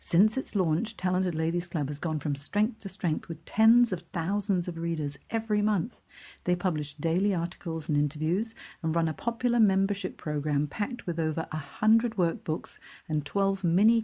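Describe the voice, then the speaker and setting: A woman's voice: fairly low pitch, dark tone. A middle-aged woman from Hong Kong hosting a careers podcast, upbeat and enthusiastic as she interviews a guest. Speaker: podcast host, career coach. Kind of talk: scripted intro then interview chat.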